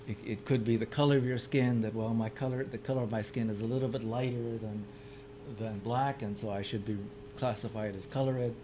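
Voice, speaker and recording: A man speaking to a room at an ordinary middle pitch, talking through slides in a halting way. He often repeats a word or two before going on. Faint background noise sits under the recording. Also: steady tone. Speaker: lecturer. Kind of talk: public lecture with slides. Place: meeting room with audience.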